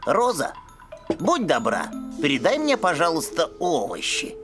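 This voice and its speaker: Pedantic cartoon dog boy, expressive intonation. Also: low voice, unhurried, exaggerated voice. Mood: prim, fussy. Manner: formal, precise, fussy. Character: bookish, polite cartoon dog boy, pedantic brainy brother. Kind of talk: animated series dialogue. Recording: music under the dialogue.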